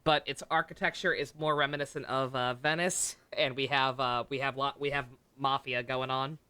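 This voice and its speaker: A man giving casual live stream commentary on a game, rambling, halting and often correcting himself. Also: medium pitch, steady tone, bright, forceful tone, clean tone. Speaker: game livestreamer. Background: game music.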